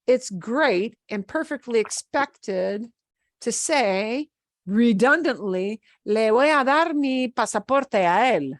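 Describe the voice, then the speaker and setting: A woman's voice: a little high, expressive, takes it slowly, no room sound, clean studio sound. A woman Spanish teacher with a Midwestern American accent, patiently explaining a lesson over a video call with fillers and restarts. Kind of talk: online class lesson.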